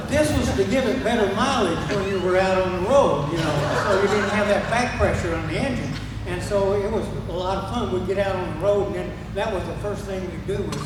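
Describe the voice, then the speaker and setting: An older man from Oklahoma with a fairly high voice, rambling through family stories with many pauses and restarts. He sounds far from the mic in a big echoing hall with loud room noise. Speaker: speaker at a ceremony, brother of the honoree. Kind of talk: casual anecdotal storytelling. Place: museum event hall.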